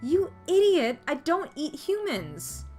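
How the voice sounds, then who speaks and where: A woman's voice: sits high, big pitch swings, slow, darkish tone, voice pushed up, heavily acted. A woman voicing a hot-tempered, proud young witch girl who is secretly shy and kind, in a visual novel read aloud, with music. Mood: indignant, angry. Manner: indignant, arrogant, easily flustered.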